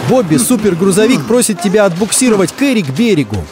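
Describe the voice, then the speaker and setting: A man's voice: high-pitched, big pitch swings, quick pace. A man narrating a children's cartoon, talking to the characters in a dramatic, exclamatory way. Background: music.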